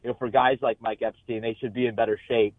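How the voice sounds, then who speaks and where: A man giving sports analysis as a guest in a live radio interview, speaking haltingly with repeated words and frequent filler phrases. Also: medium pitch, little pitch movement, no room sound. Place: remote call into a radio show. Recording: muffled, band-limited call-in line.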